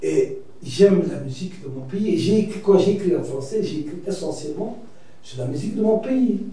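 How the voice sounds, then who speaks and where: A Mauritanian writer speaking reflectively as a debate guest, pausing and restarting often. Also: male voice, high-pitched, expressive, warm tone. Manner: reflective, hesitant, many restarts and fillers. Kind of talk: debate discussion. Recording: echoey room from far off.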